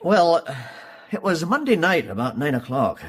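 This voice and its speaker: A man playing a humble rural farmer with a Scottish accent in a radio drama, halting, apologetic and respectful. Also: a little high, very animated, a bit bright, breathy edge, exaggerated voice. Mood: earnest, respectful.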